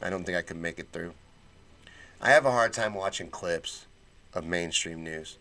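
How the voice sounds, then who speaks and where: Fired-up low-voiced man ranting on a livestream. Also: normal speed, sharp tone. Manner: blunt and fired-up, folksy slang. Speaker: political livestream host. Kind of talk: casual livestream commentary reading chat.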